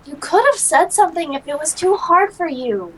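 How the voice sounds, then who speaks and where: A woman's voice: extremely high pitch, animated, normal speed, a bit bright, pitched above the performer's own voice, poor audio. A woman voicing friendly, polite young girls at a summer camp in a visual novel. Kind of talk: visual novel character reading. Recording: music behind the voice.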